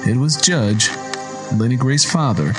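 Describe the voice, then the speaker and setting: Male story narrator over clear music. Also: medium pitch, lively intonation, measured pace.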